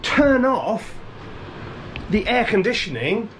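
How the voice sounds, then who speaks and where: A man's voice: high-pitched, big pitch swings, slow pace, fairly bright tone, slight background noise. A British man presenting a casual, chatty tutorial with jokey, theatrical asides, often interrupting himself. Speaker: composer reviewing music software, presenter.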